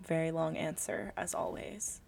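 Chatty young woman, lowish voice. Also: measured pace, vocal fry, a little mellow, slight background noise. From California, USA. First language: Tagalog. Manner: chatty, rambling, full of "like" and laughter. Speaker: podcast co-host. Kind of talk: casual podcast chat.

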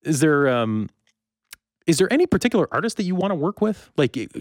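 A man's voice: fairly high pitch, very animated, a little fast, dry recording, studio quality. A North American man hosting a casual interview, chatting informally and telling anecdotes. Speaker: podcast host interviewing a guest.

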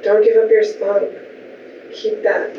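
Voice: mid-range voice, monotone, slow. A seance participant talking in an echoey room, recorded from a distance with a dull sound and faint noise.

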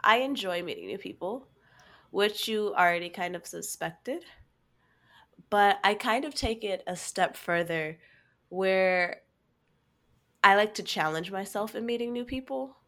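A woman's voice: medium pitch, animated, unhurried, pauses often, a bit bright. A woman co-hosting a podcast in casual chat, sounding stuffy from a cold and talking in a chatty, storytelling way full of "like". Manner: chatty, storytelling, leans on "like" a lot. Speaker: podcast co-host.

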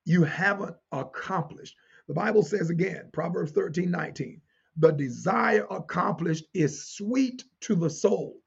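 Husky, high-pitched male preacher. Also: dull audio. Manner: emphatic, deliberate, repeats key phrases, rhetorical questions. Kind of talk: teaching sermon to listeners.

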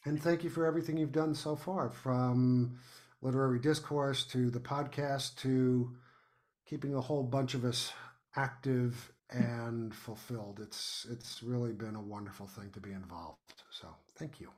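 Male, American, medium pitch. Podcast guest in casual conversation, thoughtful, halting delivery with repeated words. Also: steady tone, a little mellow. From United States. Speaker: guest playwright and podcast host. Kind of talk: casual interview chat.

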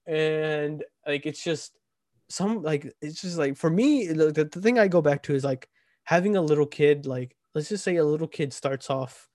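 Young male podcast host, 20, loose filler-heavy rambling, higher-pitched, dry and close-miked. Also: normal speed, darkish tone, dry recording. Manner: rambling, filler-heavy, keeps asking "right?". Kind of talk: casual podcast chat.

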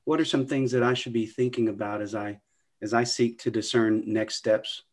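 A man hosts a podcast interview in a friendly tone. He often stops and restarts his phrases. His voice sits in a middle pitch. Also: fairly even intonation, normal speed. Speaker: podcast host.

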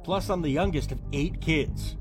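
A man's voice: fairly high pitch, moderate pace, lightly acted. A man playing a boisterous, teasing young best buddy in an immersive audio drama, exuberant and slangy. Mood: teasing, upbeat. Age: young adult. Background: music.

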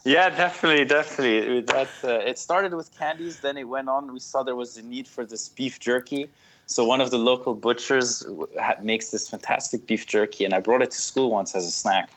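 A man in his thirties from Switzerland, a guest telling relaxed stories in an interview, with fillers and laughter along the way. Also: medium pitch, fairly quick, slight background noise. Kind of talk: casual interview.

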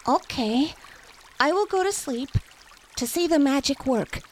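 A woman's voice: high voice, expressive, unhurried, slight background noise. A woman playing an earnest, slightly anxious young girl in an audio story. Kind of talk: audio theater acting.

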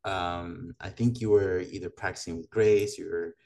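Podcast host guy from madagascar, low voice, chill and laughs a lot. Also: steady tone, normal speed, gravelly, darkish tone, a little hiss or hum. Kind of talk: casual chat.

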